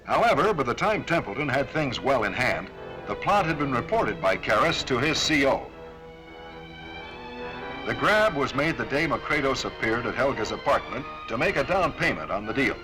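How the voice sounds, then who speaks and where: A man's voice: mid-range voice, big pitch swings, normal speed, over music. An American man delivering stern, formal, authoritative scripted narration as presenter of a Cold War-era military training film.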